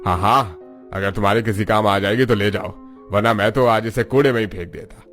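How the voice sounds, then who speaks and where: A man's voice: lowish voice, brisk, fairly bright tone, faint music behind, close to an everyday voice. A man voicing a blustering, scolding but fair factory boss in a cartoon story. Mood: gruffly lenient. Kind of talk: Hindi cartoon story acting.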